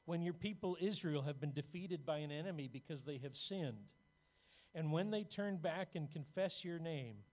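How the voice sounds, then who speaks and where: Man preaching over a tinny line. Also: fairly high pitch. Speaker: pastor. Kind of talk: sermon with scripture reading. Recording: tinny phone-like sound.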